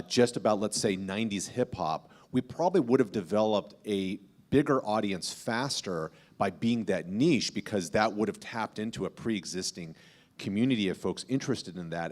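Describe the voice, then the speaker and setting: Guy podcaster on a panel, really low voice, thinking through his advice out loud. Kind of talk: panel talk, explanatory advice.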